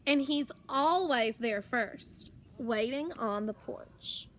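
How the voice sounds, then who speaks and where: A woman reading a children's picture book aloud as a teacher would, expressively, with drawn-out words and deliberate pauses. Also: a little high. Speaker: primary school teacher.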